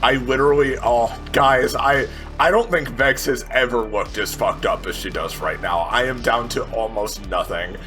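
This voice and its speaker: A man with a very bright, forward voice plays a tabletop role-playing game over faint music. He reasons out loud in casual speech, doubles back on his words and drops the odd swear as he narrates his character. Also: mid-range voice, moderate pace. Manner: thinks aloud, repeats and corrects words, swears casually.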